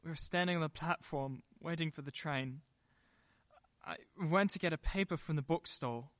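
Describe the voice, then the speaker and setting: A man's voice: a little high, moderate pace, a bit bright, dry recording, close to an everyday voice. Man playing an evasive, easily rattled husband. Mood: subdued, pained. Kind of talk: radio play acting.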